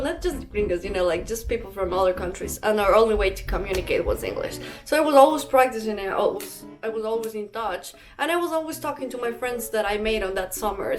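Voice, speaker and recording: A young woman from Ecuador with an Ecuadorian Spanish accent, telling a rambling casual storytime vlog full of fillers and restarts. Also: fairly high pitch. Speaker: vlogger.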